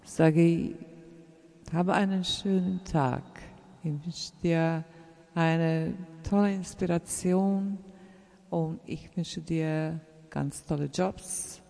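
A speaker with a German accent, a native German speaker, giving an earnest, encouraging message to fellow artists, halting and searching for words with many fillers, and trailing into soft whispers. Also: mid-range voice, steady tone, vocal fry. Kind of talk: casual spoken message to listeners. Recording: roomy with long reverb.

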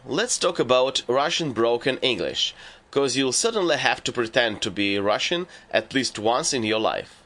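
Slow, bright-voiced male teacher. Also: medium pitch. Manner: measured, deliberate delivery of lists and rules. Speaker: language teacher. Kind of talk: scripted instructional narration.

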